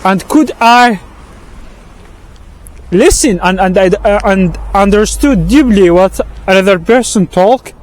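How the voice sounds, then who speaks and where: Slow, halting man with an Arabic accent. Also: sits high. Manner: halting, many restarts and repeated words. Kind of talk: walking-and-talking vlog monologue. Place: outdoors on a street with passing traffic.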